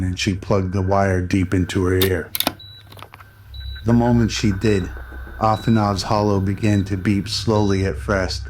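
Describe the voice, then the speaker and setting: A man's voice: sits low, darkish tone, a little hiss or hum, rough recording. A man narrating a scripted radio drama in a pulp noir storytelling style. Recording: music under the voice.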